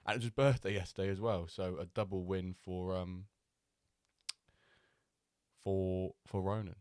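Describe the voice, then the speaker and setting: A man hosting a live stream, chatting loosely with many pauses and fillers, still a little nasal from a cold. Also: low-pitched, level tone, darkish tone, clean tone, dry recording, clean studio sound. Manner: chatty, rambling, with many ums and restarts. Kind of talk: casual live-stream chat.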